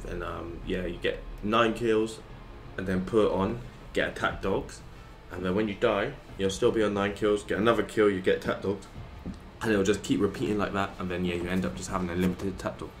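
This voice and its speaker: A man's voice: sits low, steady tone, brisk, soft background music. A young man, rambling casually in swear-laced commentary over his gameplay video. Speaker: gaming YouTuber.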